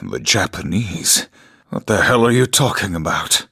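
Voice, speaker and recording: A male actor voicing a weary, defeated war survivor, gruff and resigned, in a video game cutscene. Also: low-pitched, big pitch swings, a little slow, bright, forceful tone, no room sound, clean studio sound, exaggerated voice. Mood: bewildered, defensive.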